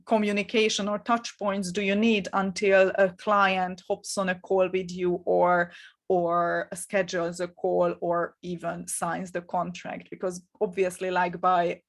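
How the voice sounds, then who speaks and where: A middle-aged woman with a Hungarian accent, coaching in an energetic, encouraging webinar talk, often repeating words and pausing with fillers. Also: on the low side. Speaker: marketing coach.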